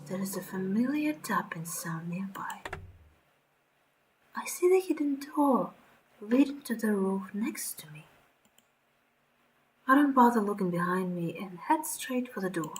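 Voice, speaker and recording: A woman streamer reading horror game narration aloud in a dramatic, breathy storytelling way, with short reactions of her own. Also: medium pitch, lively intonation, a little slow, long pauses, low-quality recording. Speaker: streamer playing a horror visual novel. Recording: background music under the voice.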